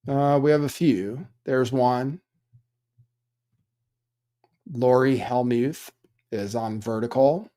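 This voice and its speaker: A man at mid pitch hosts a live stream in a loose, offhand manner. His tone is dry, and he drifts between comments and housekeeping asides. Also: fairly even intonation, slow pace, dark tone. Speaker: livestream host.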